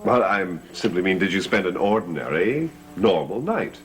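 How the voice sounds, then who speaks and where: Evasive gentleman, lowish pitch, hesitant and placating, gothic TV soap, faint music behind. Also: big pitch swings, moderate pace. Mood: careful, probing. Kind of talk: 1970s television soap opera acting. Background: background music.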